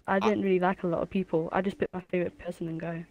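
A woman on a voice call who speaks softly and quietly, with frequent laughs. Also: lowish voice, level tone, rapid-fire. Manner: quiet, soft-spoken, laughs often. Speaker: guest on call. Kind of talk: casual call chat.

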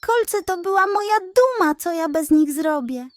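A small, anxious hedgehog boy from a bedtime storybook, acted out by a woman. The voice sits very high and drags out its plaintive exclamations, proud and easily hurt, in a dry close recording. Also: animated, a little slow, no room sound. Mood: hurt, indignant. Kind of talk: children's bedtime storybook character.

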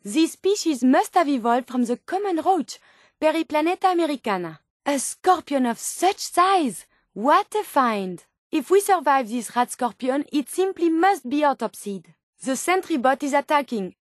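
A high, dryly recorded voice of an earnest female robot scientist for a video game. She is endlessly curious and polite, choosing precise, bookish words with naive sincerity. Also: no echo, studio quality. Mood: fascinated, excited, then concerned, cautious. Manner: precise, formal, bookish phrasing. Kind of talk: video game companion dialogue.

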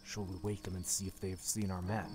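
A man's voice: deep voice, level tone, fairly quick, darkish tone, soft background music, natural voice. A man playing a wary explorer in a fantasy audio drama.

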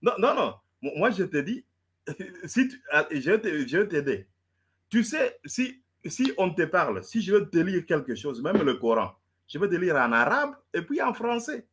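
A man's voice: high-pitched, sing-song, normal speed, fairly bright tone, breathy edge. A man debating in French, which is not his first language, stammering and repeating words as he lectures. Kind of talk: heated live debate.

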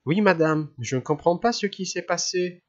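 A man's voice: high voice, lively intonation, normal speed, pitched above the performer's own voice. A man putting on a courteous young mother with a raised voice. She speaks politely and with care. The recording is muffled and lo-fi. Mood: concerned. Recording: dull lo-fi old recording.

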